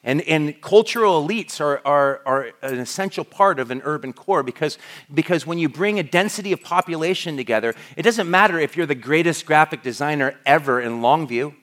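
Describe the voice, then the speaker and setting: A man's voice: on the high side, animated, fairly quick, fairly bright tone. Conversational male pastor preaching. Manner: conversational, with frequent restarts and fillers. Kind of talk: sermon.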